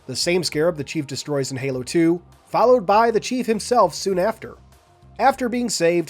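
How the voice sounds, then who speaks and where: A man's voice: on the high side, darkish tone. A man hosting a lore analysis video, reading a scripted narration with enthusiasm and speaking directly to his viewers.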